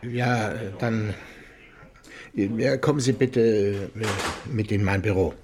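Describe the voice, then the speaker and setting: A man's voice: on the low side, fairly even intonation, slow pace, background noise. A man playing a harried but sympathetic police investigator with a probing, businesslike manner in a German radio crime drama. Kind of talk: German radio play acting, questioning.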